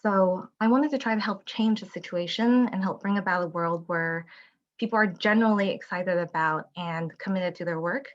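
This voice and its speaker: A middle-aged woman from Taiwan, a company founder giving an earnest, measured presentation with frequent ums over a video call. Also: fairly low pitch, lo-fi sound. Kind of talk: prepared presentation.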